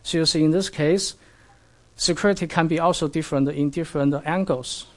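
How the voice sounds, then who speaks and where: A man whose first language is Chinese, giving an academic lecture in halting English with many fillers and asking the audience questions. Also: fairly high pitch, fairly even intonation. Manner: halting non-native English with frequent fillers.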